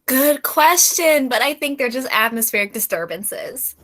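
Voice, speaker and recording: A woman's voice: high voice, expressive, unhurried, fairly bright tone, exaggerated voice. A woman playing an unsure, easily derailed astronomy doctor in a radio play, hesitant but reassuring.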